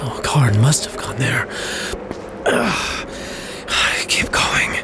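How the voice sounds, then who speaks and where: A man playing a weary, anxious armored adventurer in an audio drama, halting and stammering in a breathy voice as he thinks aloud. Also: medium pitch, very animated, whispered. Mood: exhausted, struggling. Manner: halting, stammering, gasping with effort. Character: weary, anxious armored male adventurer struggling through snow. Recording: loud wind and blizzard noise.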